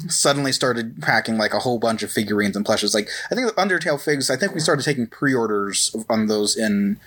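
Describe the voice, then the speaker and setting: A man hosts a casual podcast with a mid-pitched voice. He talks in a loose, halting way, feeling his way through notes as he recaps things for his co-hosts. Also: animated, a bit bright. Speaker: podcast host. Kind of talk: casual podcast chat recapping company history.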